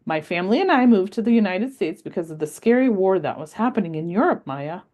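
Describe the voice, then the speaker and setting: A woman voicing a wise, patient old grandmother in a read-aloud story, gently telling her granddaughter about her life. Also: medium pitch, animated, fast, natural voice. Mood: fond.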